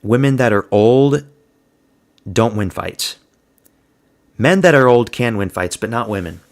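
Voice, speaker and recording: A man's voice: mid-range voice, expressive, a little slow. A man giving a blunt, crude, slang-heavy solo review of fight results. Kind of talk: casual solo commentary.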